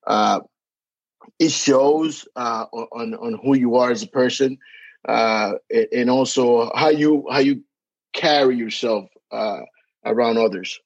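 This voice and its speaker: Man, Dominican accent, halting speech with lots of uh's, dry dull lo-fi recording. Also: medium pitch, no room sound. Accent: Dominican Spanish. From Dominican Republic. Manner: halting, many fillers and restarts. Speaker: podcast guest. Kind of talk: casual interview chat.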